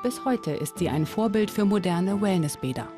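A woman's voice: mid-range voice, lively intonation, moderate pace. A woman reading a scripted documentary narration as the narrator. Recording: music bed under the voice. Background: background music.

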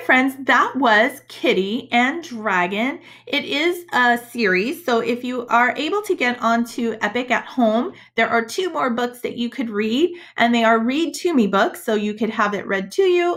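A woman schoolteacher reads a picture book aloud to children. Her voice sits a little high and swings widely in pitch. She takes her time, speaking deliberately and expressively for young listeners. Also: lively intonation, a bit bright. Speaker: schoolteacher reading to pupils. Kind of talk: storybook read-aloud for children. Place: indoors, webcam screen recording.

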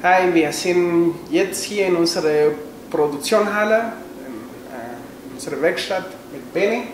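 A man's voice: sits high, a little slow. A man in his forties with a Chilean Spanish accent explains a craft in hesitant, non-native phrasing. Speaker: instrument maker and musician. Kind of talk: explaining a craft process to camera. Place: indoor production workshop. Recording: echoey workshop with slight noise.

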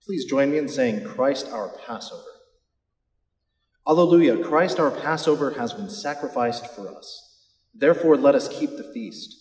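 A man in his thirties, a clergyman, reciting liturgy in a measured, declamatory way. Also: mid-range voice, a little mellow. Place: large stone church, indoors. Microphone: camera a few metres away. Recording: echoey church with long reverb.

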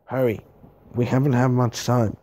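A man voicing an urgent army commander in halting, repetitive, improvised game-style battle lines. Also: medium pitch, flat delivery, noisy background, pitched above the performer's own voice, lightly acted. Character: urgent male army commander barking orders at troops.

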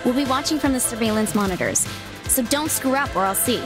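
A woman plays a bossy game tech expert, dry and sarcastic. She talks quickly at a slightly high pitch, over loud music. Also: lively intonation, natural voice. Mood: brisk, snarky.

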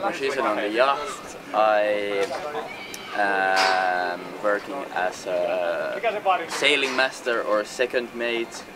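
A young man with a Finnish accent introducing himself as sailing master, relaxed and pausing, outdoors on a yacht in a marina. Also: fairly low pitch. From Finland. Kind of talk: self-introduction to camera. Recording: crowd noise behind voice.

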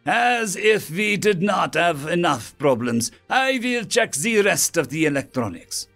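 A man's voice: high-pitched, big pitch swings, unhurried, a bit bright, faint music behind, pitched above the performer's own voice, heavily acted. A man doing an otter engineer. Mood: irritated. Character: fussy male otter engineer, German-accented, gruff.

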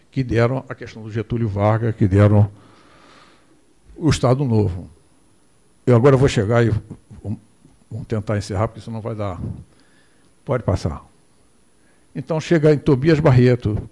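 A man's voice: medium pitch, warm tone. Older man lecturing from a podium. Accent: Brazilian Portuguese. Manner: digressive, asks for the next slide. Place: hall with projector screen, indoors. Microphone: podium microphone.

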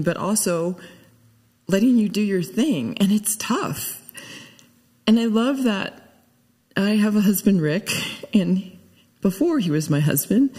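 A middle-aged woman giving an informal, conversational personal tribute at a lectern microphone, telling stories in an animated way. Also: on the low side. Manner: conversational, animated storytelling, often chuckling. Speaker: eulogy speaker. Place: church sanctuary, indoors. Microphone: gooseneck lectern microphone. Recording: reverberant hall.

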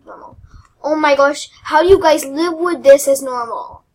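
A young woman hosting her own game channel, chatting casually and rambling with giggles while she plays, her voice squeaky and often dropping to a mutter. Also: moderate pace. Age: teens. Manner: rambling, giggly, self-deprecating. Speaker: gaming channel host. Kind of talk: casual gameplay commentary.

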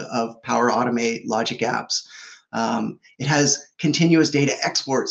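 A man's voice: medium pitch, creaky, rough recording. A man in his forties on a video call from a home office, explaining products in a fluent, upbeat conversational way with frequent fillers. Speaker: product executive guest. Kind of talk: conversational tech keynote. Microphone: video call webcam.

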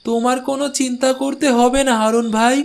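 A man's voice: extremely high pitch, little pitch movement, fairly quick, vocal fry. A man voicing a kindly village cart driver in a cartoon, speaking in a reassuring, neighbourly way. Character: helpful village bullock-cart porter, kindly male friend. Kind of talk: Bengali cartoon dialogue. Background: soft music.

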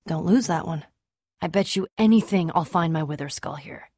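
A woman voicing a tough, sarcastic, self-assured young adventurer and trader in a video game, with an American accent and a wry, brisk delivery. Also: lowish voice, warm tone, breathy edge, no echo. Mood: wry, casual. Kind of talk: animated adventure game dialogue.

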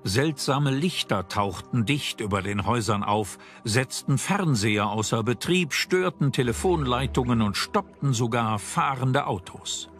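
A male narrator delivers a scripted documentary voice-over. His intonation moves in a lively way at a medium pitch, with music playing faintly behind him. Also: a little slow, hardly any pauses.

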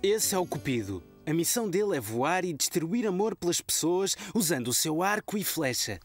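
Low-voiced affectionate cartoon dad. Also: female voice, big pitch swings, normal speed, soft background music. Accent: European Portuguese. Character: easygoing, affectionate young father of small children. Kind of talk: children's cartoon dialogue.

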